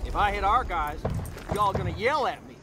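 An actor playing a goofy, reckless, folksy army soldier in a military video game. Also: male voice, high voice, expressive, moderate pace. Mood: goofy, evasive. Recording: noisy outdoor game mix.

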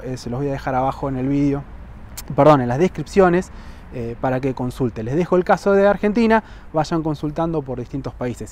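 A man in his thirties with a Rioplatense Spanish accent, vlogging outdoors on a lapel mic. He explains travel rules to the camera and keeps checking in with "¿sí?". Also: mid-range voice. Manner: checks in with frequent "¿sí?" tags, lively emphasis. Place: outdoors on a lawn by buildings, sunny.

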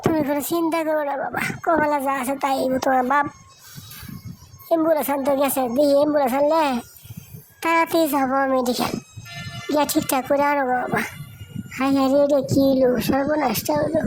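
Woman acting a high-voiced village mother over music. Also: steady tone, a little fast, long pauses, a little mellow, close to an everyday voice, rough recording. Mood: distressed, consoling. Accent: rural Bangladeshi Bengali. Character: worried village mother and wife. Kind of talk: amateur cartoon voice acting.

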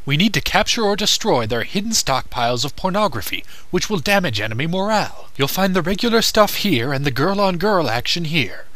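Anime parody fighter, voiced by a man: sarcastic, exaggerated, very forceful delivery, recorded dry. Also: a little high, big pitch swings, fairly quick, hardly any pauses, no room sound. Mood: businesslike. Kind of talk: comedic anime parody dub, several characters.

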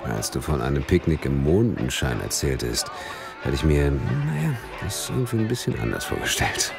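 A grumbling, sardonic detective in an audio drama. His voice is extremely low and moves with lively swings, with music underneath. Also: normal speed, gravelly, full character voice. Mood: grumbling, impatient. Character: wry, grumbling male police detective on stakeout. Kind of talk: German audio drama acting, partly narrating.

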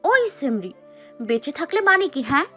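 A woman's voice: sits high, sing-song, moderate pace, sharp tone, faint music behind, full character voice. A woman voicing a cheeky, flustered college girl who blurts and bickers dramatically in an audio story. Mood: bickering, indignant. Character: cheeky, flustered young female college student, the heroine.

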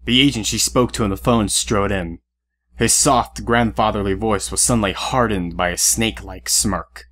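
A man narrates an audio drama in a low voice. He reads scripted story prose as the narrator. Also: fairly even intonation, normal speed, fairly bright tone.

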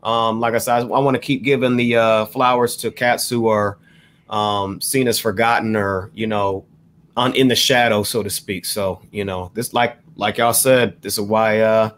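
A man co-hosting a casual podcast chat over a video call, relaxed and measured, agreeing often with the others. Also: lowish voice. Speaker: podcast co-host.

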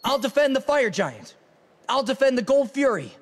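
A man's voice: extremely high pitch, sing-song, normal speed, long reverb tail, exaggerated voice. A man voicing a cocky, playful young graffiti-artist fighter in a video game, brash and teasing with slangy short callouts. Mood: brisk, confident.

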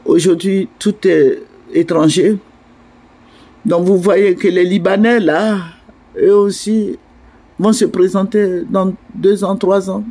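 A man's voice: high voice, steady tone, slow pace, dark tone. An older man from Côte d'Ivoire with an Ivorian French accent, making an indignant, pleading video address at night from indoors. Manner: indignant, pleading, repetitive appeals. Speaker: online commentator.

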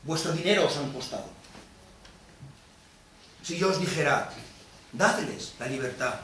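A man's voice: a little high, bright, forceful tone. A male stage actor playing a bitter, vengeful Venetian moneylender in a declamatory theatrical monologue, aggrieved and defiant. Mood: scornful, reasoning. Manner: declamatory, rhetorical questioning. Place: theatre stage, recorded from a distance. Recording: echoey theatre, distant mic, noisy.

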